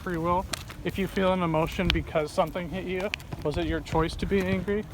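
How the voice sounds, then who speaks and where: A young man with a fairly high voice talks in a searching, halting way, stumbling over repeated words. He is out on a walk, and outdoor noise is clearly heard behind him. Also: steady tone. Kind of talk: casual philosophical chat.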